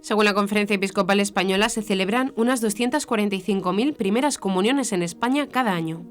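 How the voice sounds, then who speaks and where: Brisk female newsreader. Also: mid-range voice. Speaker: news presenter. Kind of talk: scripted news narration. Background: background music.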